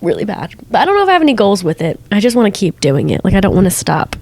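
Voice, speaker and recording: A young woman co-hosting a podcast while congested, chatting in a relaxed, rambling way with lots of fillers. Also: mid-range voice, racing, slightly rough. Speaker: podcast co-host. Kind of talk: casual chat.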